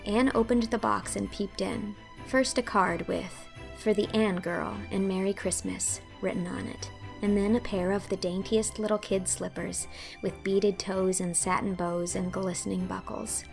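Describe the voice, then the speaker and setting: A woman's voice: medium pitch, fairly even intonation, normal speed, gravelly, faint music behind, low-quality recording. A woman narrating an audiobook in a storybook reading manner.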